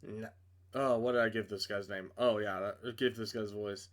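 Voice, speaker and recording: A man's voice: fairly low pitch, steady tone, normal speed. A man reading a visual novel aloud as a streamer, narrating dramatically and breaking off into casual, joking asides to correct himself.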